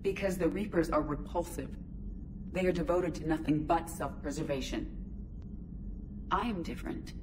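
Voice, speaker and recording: An actress playing a female starship AI who talks in an even, formal, clipped way. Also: deep voice, moderate pace, pauses often, noisy background, close to an everyday voice. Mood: resolute. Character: female ship AI, calm and precise.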